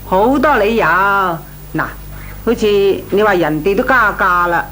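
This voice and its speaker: An actress playing a blunt, practical, pushy aunt in a Cantonese comic radio drama, emphatic and matter-of-fact. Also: female voice, mid-range voice, expressive, measured pace, a bit bright. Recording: noisy, humming radio recording.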